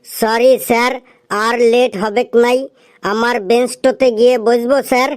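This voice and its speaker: A woman's voice: a little high, level tone, normal speed, background noise, close to an everyday voice. A woman voicing a cheeky, excuse-making school pupil in a comedy cartoon, with a meekly polite manner.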